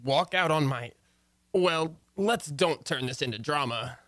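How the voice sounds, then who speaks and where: A man's voice: a little high, unhurried, fairly bright tone, no echo. Wry, put-upon husband played by a man. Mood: bemused. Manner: dry, sardonic. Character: wry, put-upon husband narrating his domestic troubles. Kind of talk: old-time radio comedy narration and dialogue.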